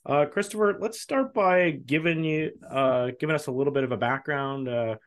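A man's voice: a little high, moderate pace. A man hosting a podcast, asking questions in a friendly, rambling way with many fillers. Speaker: podcast host. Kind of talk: casual interview hosting.

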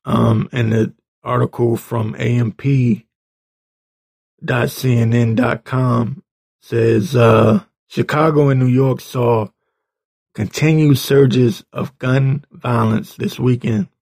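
A man delivers an informal, exasperated commentary in a hoarse, gravelly voice. His pace is slow and halting, with a medium pitch. He speaks bluntly, with a rasp throughout. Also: steady tone, a little mellow. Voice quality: raspy. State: hoarse, clearing his throat. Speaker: political commentator reading tweets and articles. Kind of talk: informal opinion rant.

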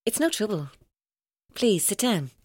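A woman's voice: medium pitch, very animated, a little slow, a little mellow. An actress playing a vengeful, unstable woman, long bullied, in an audio drama. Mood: guarded, polite.

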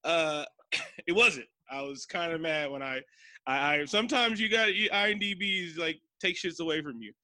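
A man's voice: a little high, moderate pace, sharp tone, dry recording. A man co-hosting a podcast, chatting casually about a movie in a loose, joking way and swearing freely. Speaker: podcast co-host. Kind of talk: casual movie review chat.

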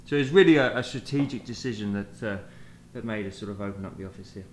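A man's voice: fairly low pitch, quick pace. Hesitant British man in an echoey room. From England. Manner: hesitant, many fillers and restarts. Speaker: guest, sales manager. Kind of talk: conversational podcast interview. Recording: echoey room, faint noise.

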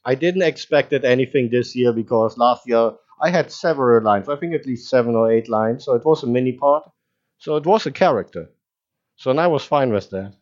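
A man's voice: mid-range voice, brisk, darkish tone, muffled recording. Easygoing man hosting a podcast. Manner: easygoing, laughs along, teases the guest. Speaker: podcast host. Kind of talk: casual podcast interview.

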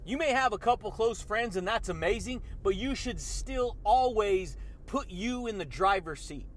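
A man's voice: sits high, lively intonation, moderate pace, a little hiss or hum. A man hosting a motivational podcast, talking in a hyped, slangy way and calling the listener dude and baby. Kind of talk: energetic motivational monologue.

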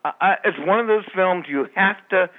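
A man reviewing a movie in casual radio chat, blunt and joking, speaking in halting restarts. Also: high voice, narrow, tinny audio. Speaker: movie critic.

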